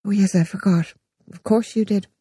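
Calm, firm, determined woman, read by a woman narrator, slightly higher, husky and mellow. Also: moderate pace, no room sound, clean studio sound, natural voice. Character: calm, steady, determined woman in her forties.

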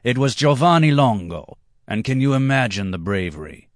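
A man's voice: mid-range voice, lively intonation, normal speed, no room sound, studio quality, voice pushed up, close to an everyday voice. A man voicing a drunken, boastful, hot-tempered red-haired banker. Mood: excited.

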